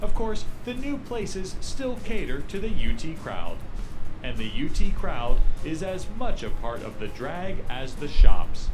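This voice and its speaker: A man's voice: a little high, big pitch swings, measured pace. Guy reading a book excerpt, lots of up and down, music behind him. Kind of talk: scripted narration, book reading.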